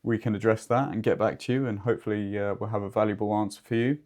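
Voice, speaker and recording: A man with a fairly low voice talks as the expert co-host of a business video. His delivery is conversational and explanatory, with measured, somewhat wordy phrasing. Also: fairly even intonation, a little mellow. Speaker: podcast co-host and two-way radio expert.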